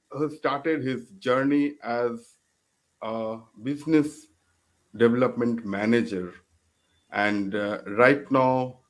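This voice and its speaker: Male show host, slow and halting, at a mid pitch. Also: rough recording. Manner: slow and halting, with many uh fillers. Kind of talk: hosting an online interview.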